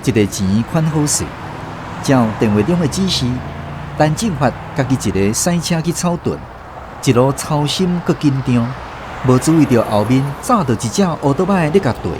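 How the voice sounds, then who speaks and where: A man's voice: medium pitch, animated, moderate pace, noisy background. A man with a Taiwanese accent narrating a radio drama in a dramatic, scripted storytelling style.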